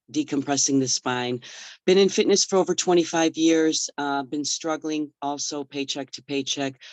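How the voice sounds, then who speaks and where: A man's voice: mid-range voice, dry recording. A man born in the Czech Republic, a fitness trainer, passionately telling his story as a guest on a video call, emphatic and full of long lists.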